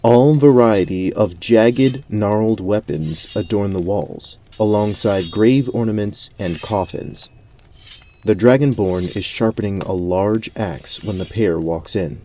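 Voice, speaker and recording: A man narrating a scripted fantasy story in a measured, descriptive storyteller's manner. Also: on the low side, mellow, a little hiss or hum.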